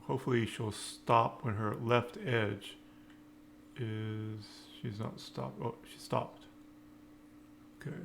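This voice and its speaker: A man giving an informal live-coding tutorial, thinking aloud in halting, piecemeal phrases. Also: medium pitch, fairly even intonation, gravelly, fairly bright tone. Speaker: programming tutorial instructor. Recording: noticeable background noise, keyboard typing.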